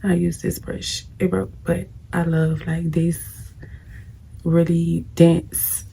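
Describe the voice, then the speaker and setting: A woman's voice: on the low side, level tone, takes it slowly, vocal fry, mellow. A young woman with a scratchy voice, chatting bluntly to viewers while doing her makeup. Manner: blunt, preachy, talks straight to viewers. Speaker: vlogger. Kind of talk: casual get-ready-with-me advice chat.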